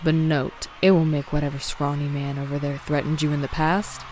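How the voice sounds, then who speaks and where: A woman's voice: low-pitched, level tone, fairly quick, background noise, lightly acted. A woman playing a taunting, menacing fighter and clan leader in a visual novel, crude and cool in manner. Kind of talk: animated visual novel acting.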